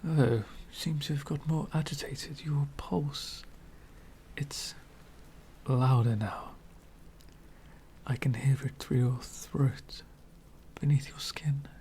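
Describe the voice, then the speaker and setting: Slow, whispering elegant male vampire acted by a man. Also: mid-range voice, fairly even intonation, a little hiss or hum. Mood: menacing, fascinated. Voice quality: soft, breathy whispering. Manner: formal, wistful, slow and deliberate. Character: centuries-old elegant male vampire, lonely, courteous. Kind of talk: ASMR roleplay monologue.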